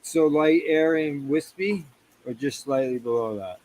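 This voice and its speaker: A man's voice: on the high side, a little slow, a little mellow, rough recording. A man hosting a casual panel chat, reading out judging questions and often stumbling and restarting his words. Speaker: show host.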